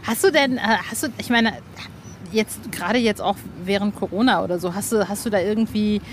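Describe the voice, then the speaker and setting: A woman's voice: mid-range voice, brisk, slight background noise. A woman chatting casually and enthusiastically as an interviewer, with a gushing, fan-like manner. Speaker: interviewer and music journalist.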